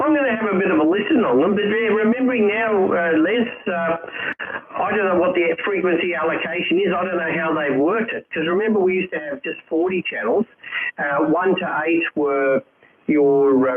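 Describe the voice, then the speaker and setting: A man's voice: high-pitched, moderate pace. A man chatting casually over ham radio, musing and thinking aloud as a fellow hobbyist. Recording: tinny band-limited radio link, background chatter.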